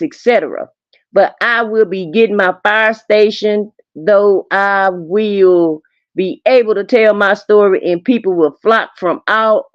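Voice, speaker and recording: A woman's voice: medium pitch, dry recording. A woman co-hosting a livestream, casually performing a reading of text messages, on lo-fi audio. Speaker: livestream co-host. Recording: dull, lo-fi close livestream audio.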